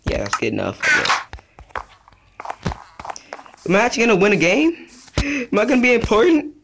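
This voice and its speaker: A young man giving casual, rambling commentary over his own gameplay, chatty and easily excited. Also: extremely high pitch, fast talker, gravelly, a little hiss or hum. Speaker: gaming youtuber.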